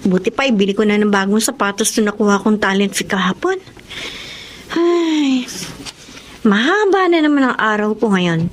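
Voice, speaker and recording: A woman's voice: mid-range voice, expressive, normal speed, a little hiss or hum, close to an everyday voice. An actress playing a hardworking, prayerful young woman, earnest and polite to her elders, in a radio drama. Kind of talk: Tagalog radio drama acting.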